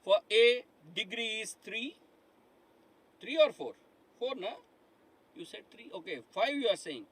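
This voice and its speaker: A man's voice: high-pitched, animated, measured pace, pauses often, fairly bright tone, husky, rough recording. A middle-aged man teaching a live online lecture, who repeats himself, asks the class questions and keeps calling them "my dear friends". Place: studio in front of a dark digital board.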